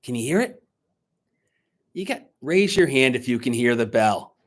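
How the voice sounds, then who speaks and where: A man reading a picture book aloud dramatically to children, pausing to coax them with questions like a teacher. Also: mid-range voice, expressive. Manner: dramatic, teacherly, coaxing young listeners with questions.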